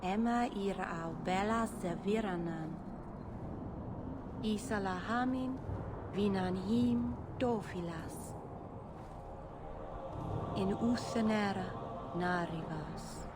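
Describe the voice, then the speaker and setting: A woman's voice: medium pitch, noisy background, lightly acted. An actress playing a solemn elven woman intoning a ceremonial chant in a video game. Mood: reverent. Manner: slow, ceremonial. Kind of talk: video game cutscene acting.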